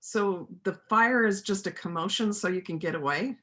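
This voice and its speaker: A woman in an amateur reader's theater play, acting a steadfast, principled small-town doctor who is quietly defiant. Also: medium pitch, moderate pace, close to an everyday voice. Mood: wary.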